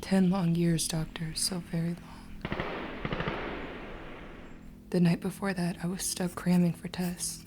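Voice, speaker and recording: A woman playing a tormented male hospital patient in a horror manga dub, breathy and whispery, with a brooding, dramatic delivery. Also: fairly low pitch, flat delivery, slow pace, pauses often, very noisy. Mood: haunted, weary.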